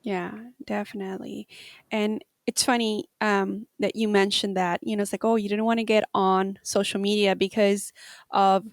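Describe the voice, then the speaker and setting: A woman's voice: medium pitch, flat delivery, moderate pace, dry recording, studio quality. A woman hosting a podcast, asking hesitant interview questions with fillers. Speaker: podcast host.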